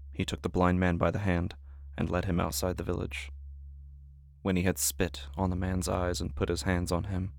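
Deep gravelly man, scripted podcast narration. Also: no room sound. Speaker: podcast creator, host and announcer.

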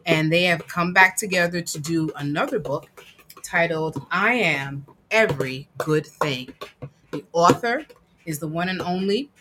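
A woman reading a picture book aloud with emphatic, dramatic phrasing and deliberate pauses. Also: on the low side, background noise. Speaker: guest reader and producer.

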